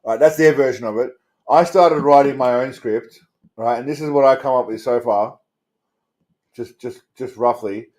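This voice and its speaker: An Australian man with a middling pitch chats off the cuff as the host of a livestream. His delivery is blokey and crude, full of swearing and rambling asides to his viewers. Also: moderate pace, a little mellow. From Australia, Melbourne suburbs. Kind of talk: casual livestream chat answering viewers. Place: indoors.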